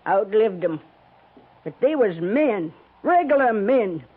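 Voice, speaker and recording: A woman's voice: mid-range voice, very animated, slow pace, breathy edge, heavily acted. A thin, tinny 1950s radio western recording carries a tough old American frontier woman. She is grasping and curt, scolding in plain folksy country speech. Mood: gruff, matter-of-fact. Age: older.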